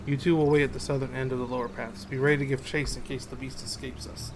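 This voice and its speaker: A man's voice: medium pitch, flat delivery, quick pace, noisy background, close to an everyday voice. A man voicing proud, distrustful hunters from a fantasy game, curt and dismissive, as he reads on stream. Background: game music.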